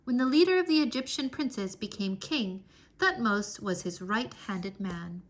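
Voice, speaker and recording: A woman reading a history book aloud for children in an expressive, deliberate storytelling manner. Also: medium pitch. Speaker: read-aloud narrator.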